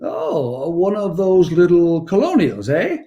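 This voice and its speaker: Guy doing merry old santa for a radio play, mellow n kinda high, courteous and gentle. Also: wildly swooping pitch, takes it slowly, lo-fi sound, exaggerated voice. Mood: gently probing. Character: kindly, merry old man who is Santa Claus. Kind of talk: 1940s radio acting.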